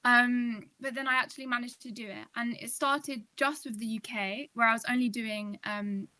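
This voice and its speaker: A woman's voice: fairly high pitch, fairly even intonation, normal speed, sharp tone, dry recording. A seventeen-year-old woman from the UK, a podcast guest chatting casually about founding her science nonprofit, full of fillers. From United Kingdom. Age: teens. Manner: chatty, many fillers like "kind of".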